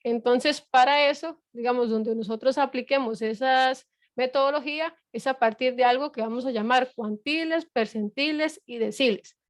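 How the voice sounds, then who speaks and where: A woman's voice: high-pitched, normal speed, no room sound, clean studio sound. A middle-aged woman lecturing a class online, explaining patiently with frequent fillers and rhetorical checks. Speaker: university lecturer.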